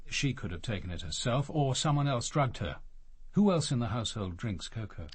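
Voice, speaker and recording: A man playing a courteous but persistent detective inspector in a radio drama, questioning in a formal, measured way. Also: mid-range voice, big pitch swings, husky, no room sound. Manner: formal, politely probing.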